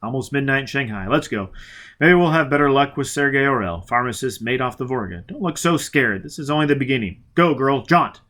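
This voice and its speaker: A man reading aloud, voicing a fierce, driven man who is angry and shaken, with loud, forceful outbursts. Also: medium pitch, fairly quick, close to an everyday voice. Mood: urgent. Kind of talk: audiobook character voice.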